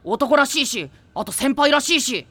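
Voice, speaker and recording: A woman's voice: sits high, animated, moderate pace, pushed and bright, no room sound. A woman doing an eager little teen boy. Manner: eager, stammering. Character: small excitable teen boy, naive and eager. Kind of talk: anime drama CD acting.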